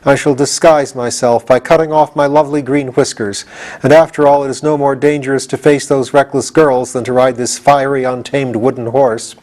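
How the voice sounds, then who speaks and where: Storybook soldier, read by a man: timid, sulky, resigned, middling pitch. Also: fairly even intonation, normal speed, darkish tone, natural voice, poor audio. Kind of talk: storybook character reading.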